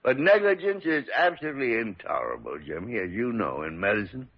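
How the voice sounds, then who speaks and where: Casting: crusty, dryly sardonic mentor physician, American, mid-pitched and very expressive, vintage radio drama. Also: male voice, fairly quick. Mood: stern. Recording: dull vintage radio recording.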